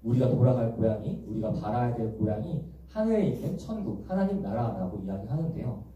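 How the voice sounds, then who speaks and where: A young man preaching to a church youth group in a gentle, explanatory way, often asking the congregation questions. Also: mid-range voice, animated, a little fast, muffled recording. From Busan, South Korea. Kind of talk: sermon. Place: large echoey church hall. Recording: echoey church hall from far off.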